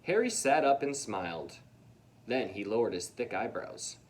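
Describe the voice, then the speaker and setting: A man's voice: on the low side, sing-song, measured pace. A man reading a children's storybook aloud expressively, with casual asides to his young listeners.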